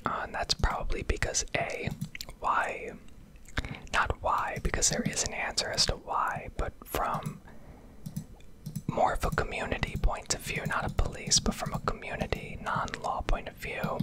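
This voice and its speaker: A woman speaking in a breathy, unvoiced whisper, rambling conversationally in the style of an ASMR gameplay video. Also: a little slow. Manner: rambling, conversational, swears casually. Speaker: video host.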